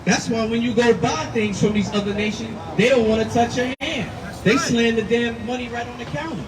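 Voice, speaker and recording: A man preaching outdoors, forceful and emphatic, repeating his points. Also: extremely high pitch, fairly quick, a crowd in the background. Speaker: street preacher. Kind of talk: street preaching. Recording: distant mic with loud street noise.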